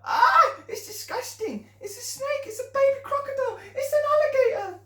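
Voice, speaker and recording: A man's voice: extremely high pitch, expressive, normal speed, sharp tone, recorded from a distance, voice pushed up, full character voice. A man voicing a crowd of little schoolchildren who shriek and squeal. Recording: roomy echo.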